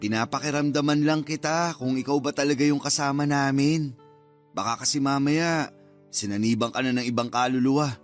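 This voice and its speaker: A man's voice: medium pitch, fairly even intonation, fairly quick, soft background music, natural voice. An actor playing a playful young male cousin in a radio drama, joking and teasing. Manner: joking, bantering.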